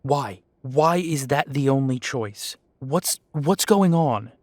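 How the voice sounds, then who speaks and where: A blunt, guarded young male werewolf acted by a man in an audio drama. His voice is mid-pitched and recorded very dry, with a questioning, defiant edge. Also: lively intonation, slow pace, darkish tone, dry recording. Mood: frustrated, defiant. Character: defiant, bewildered young werewolf, reluctant pack leader. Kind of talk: audio roleplay drama acting.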